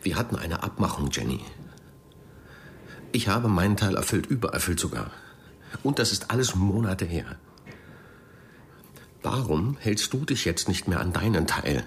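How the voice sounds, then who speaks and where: A man's voice: low-pitched, very animated, normal speed, pauses often, slight background noise. A man playing a defensive, self-justifying accused press spokesman in a radio crime drama, speaking hesitantly. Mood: reproachful. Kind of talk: naturalistic German radio drama acting.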